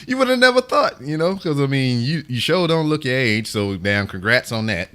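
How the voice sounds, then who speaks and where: A man's voice: medium pitch, sing-song, moderate pace, bright, forceful tone, smooth. A man hosting a casual podcast interview, joking and swearing in slangy banter. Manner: joking, profane, laughs often. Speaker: podcast host interviewing a guest.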